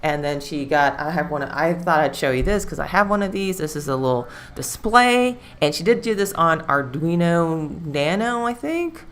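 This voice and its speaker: A woman hosts a live stream in a loose, chatty way. Her voice sits low for a woman. She rambles and circles back with fillers as she talks. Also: lively intonation, normal speed, few pauses. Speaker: livestream host. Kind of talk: casual live-stream chat.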